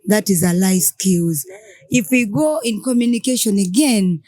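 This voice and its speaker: A woman's voice: mid-range voice, expressive, a little slow, dark tone, dry recording. A woman with a Ugandan English accent in a casual group conversation, explaining ideas through examples and answering her own questions.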